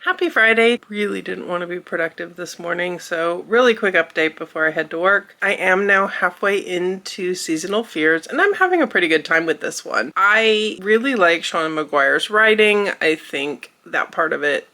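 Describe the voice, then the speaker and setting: A woman chatting casually in a book vlog, upbeat and conversational, her voice a little hoarse from a cough. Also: lowish voice, animated, moderate pace, hardly any pauses, sharp tone. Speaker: book vlogger.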